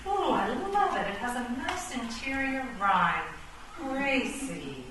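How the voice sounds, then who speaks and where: A woman's voice: high-pitched, very animated, slow pace, heavily acted. A woman playing a defiant, sardonic, bookish chess pawn in a comic radio play, declaiming and correcting wryly. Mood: approving. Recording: echoey room, distant mic, noisy background.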